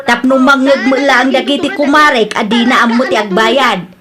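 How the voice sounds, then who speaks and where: A woman's voice: sits high, fairly even intonation, normal speed, crowd noise, lightly acted. A woman acting an irritated, blunt neighbour owed money, with an Ilocano Filipino accent, in an audio drama. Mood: defiant, scornful. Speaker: radio-style drama actor. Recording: noisy background ambience.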